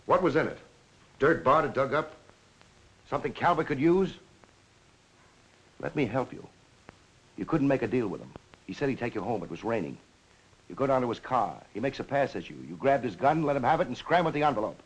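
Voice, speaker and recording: Fast-talking hard-boiled American police lieutenant. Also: male voice, medium pitch, animated, slightly rough. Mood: pressing, accusing. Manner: clipped, pressing, no-nonsense. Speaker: film actor. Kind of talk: 1940s film noir acting. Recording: noisy 1940s film soundtrack.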